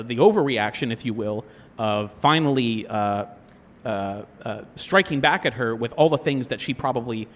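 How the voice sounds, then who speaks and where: A man playing an earnest, sheltered young acting student in an improvised audio role-play, stammering, halting and apologetic. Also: mid-range voice, a bit bright, slight background noise, natural voice. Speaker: role-playing game player.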